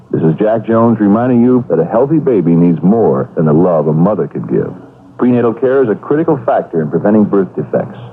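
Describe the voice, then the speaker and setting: A man announcing an earnest, measured public service appeal. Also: lowish voice, breathy edge. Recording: tinny old radio broadcast.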